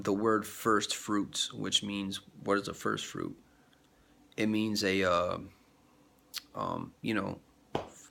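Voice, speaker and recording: A man's voice: fairly low pitch, gravelly, bright, forceful tone. A man with a cold talking in a rambling vlog about faith, full of fillers and restarts, sniffly and congested. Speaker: vlogger. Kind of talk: rambling religious vlog talk.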